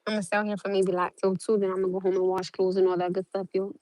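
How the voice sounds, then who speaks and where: A woman's voice: medium pitch, little pitch movement, quick pace, dark tone. A young woman from South Norwalk chatting casually to viewers on a phone livestream, rambling earnestly with lots of "like" fillers. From South Norwalk, Connecticut. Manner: rambling, repeats herself, many "like" fillers, earnest. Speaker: livestream host.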